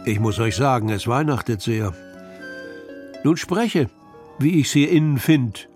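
A man reciting Christmas poems for children in an expressive, deliberate, theatrical storytelling manner. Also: medium pitch, soft background music. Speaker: poetry reader.

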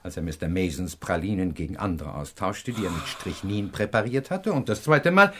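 An actor playing a self-assured professor detective in a radio crime drama, explaining precisely and with pedantic emphasis. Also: male voice, low voice, expressive, normal speed, fairly bright tone, slight background noise. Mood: lecturing, triumphant.

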